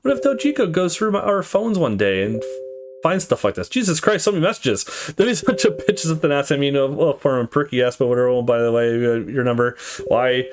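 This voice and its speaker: A man's voice: mid-range voice, animated, fast. A man hosting a let's play, casually commenting and reading visual novel dialogue aloud in an informal, off-the-cuff way. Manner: informal, sweary, reacts off the cuff.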